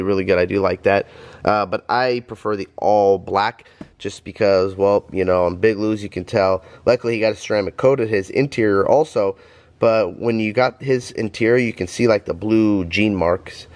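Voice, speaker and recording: A man's voice: lowish voice, fairly even intonation, normal speed, darkish tone. A man in his thirties with a cold, chatting casually to viewers while filming himself in his home garage. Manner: rambling, chatty, addresses viewers as guys. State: stuffed up from a cold. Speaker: vlogger. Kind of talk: casual vlog talk. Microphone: handheld vlog camera.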